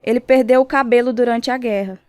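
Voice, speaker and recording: A woman's voice: fairly high pitch, fairly even intonation, brisk, a little mellow, clear, resonant tone, no echo, studio quality, close to an everyday voice. A woman playing a firm, caring young church friend, devout and direct, in a radio drama. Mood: earnest.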